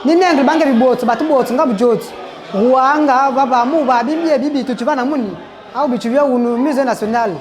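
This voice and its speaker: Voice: high voice, a little fast, a faint crowd. A speaker reciting in a rhythmic, chanting manner. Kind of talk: recitation in a local language. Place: museum hall.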